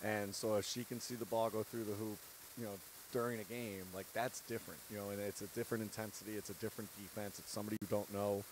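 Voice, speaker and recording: A man's voice: on the low side, level tone, fast, noisy background. A man in his thirties, a head basketball coach, giving an earnest, candid post-game interview on a handheld mic. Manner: earnest, candid, laughs between points. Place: courtside set in an empty arena.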